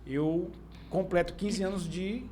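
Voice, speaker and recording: A man with a Brazilian accent hosting an informal podcast, haltingly recapping and posing hypothetical questions. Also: sits high, fairly even intonation, dark tone, a little hiss or hum. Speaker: podcast host. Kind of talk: informal conversational interviewing.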